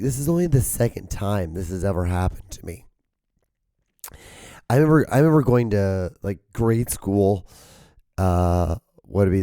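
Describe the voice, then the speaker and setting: A man hosting his own podcast with a hoarse voice that cracks and gives out. He rambles casually, swears often, and holds back his volume to spare his throat. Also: lowish voice, warm tone, dry recording, studio quality. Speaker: podcast host.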